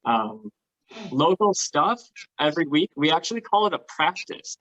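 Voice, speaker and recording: A man's voice: fairly high pitch, very animated, normal speed, fairly bright tone, lo-fi sound. A man talking casually and enthusiastically, explaining things as a guest on a talk show. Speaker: guest, LARP participant.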